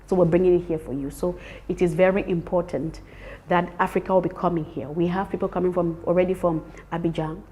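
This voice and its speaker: Low, mellow-voiced Cameroonian woman, emphatic. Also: steady tone, fairly quick. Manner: emphatic, repeats words for stress. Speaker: summit organiser being interviewed. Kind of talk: promotional interview talk.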